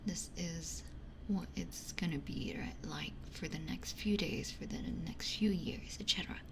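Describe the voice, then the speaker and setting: A woman streamer whispering in a breathy, conversational ASMR style, talking reflectively close to a binaural microphone. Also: fairly low pitch, a little slow. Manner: reflective, conversational, repeats phrases for emphasis. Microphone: close binaural ear microphone.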